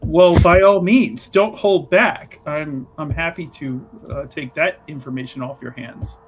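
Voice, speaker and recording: A man's voice: on the high side, a little slow, a little hiss or hum, poor audio. A man voicing a blunt, sardonic devout woman investigator with a probing, salty manner in a tabletop roleplaying game. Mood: eager. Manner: probing, sarcastic, salty-tongued.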